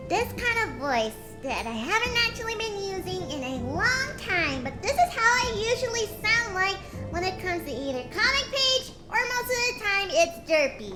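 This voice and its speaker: Woman doing a squeaky ditzy cartoon girl. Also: very animated, moderate pace. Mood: playful. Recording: roomy echo with loud music.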